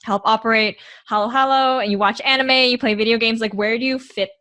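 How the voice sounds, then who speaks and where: A woman's voice: a little high, steady tone, brisk, pushed and bright, no echo, clean studio sound. A woman hosting a podcast, asking questions in a chatty, casual way with fillers. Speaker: podcast host. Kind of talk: casual interview chat.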